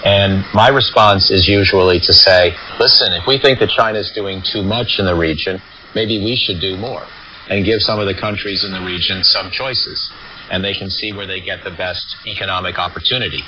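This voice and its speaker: An American man giving measured, diplomatic, explanatory answers as a foreign policy expert in an interview. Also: sits low, pushed and bright. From United States. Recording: dull lo-fi radio relay with static noise.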